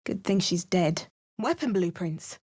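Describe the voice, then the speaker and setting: An actress voicing a wry, bookish adventuring heroine with a British accent, speaking game voice lines and spell words. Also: female voice, lowish voice, expressive, a little slow, darkish tone, husky. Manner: dry, wry remarks and chanted spell words. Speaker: player character voice lines.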